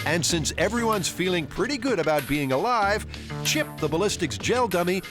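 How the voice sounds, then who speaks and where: A man's voice: high-pitched, lively intonation, moderate pace. A man narrating a science documentary in a playful, wry scripted voice. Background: music.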